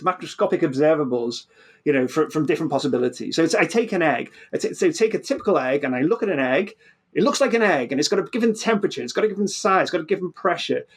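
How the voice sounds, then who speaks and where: A man's voice: a little high, lively intonation, a little fast, gravelly. A man explaining physics conversationally as an interview guest, often repeating words and restarting mid-phrase.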